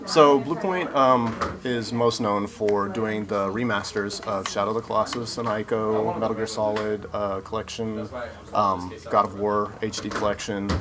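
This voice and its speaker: Male interview guest, lower register, earnest and explanatory, casual delivery, crowd chatter in the background. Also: steady tone, normal speed. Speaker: interview guest, video game developer.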